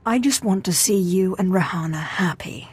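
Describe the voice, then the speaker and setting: An actress voicing a bold, meddling female dark-side sorceress in a video game, direct and assured. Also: lowish voice, moderate pace, husky, no room sound. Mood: assured, teasing. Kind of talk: dramatic video game cutscene acting.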